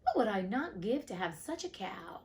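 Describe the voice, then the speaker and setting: A woman's voice: mid-range voice, very animated, moderate pace, darkish tone, close to an everyday voice. A woman voicing a naive, easily pleased young fairy-tale man, musing earnestly and with self-satisfaction. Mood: content, eager.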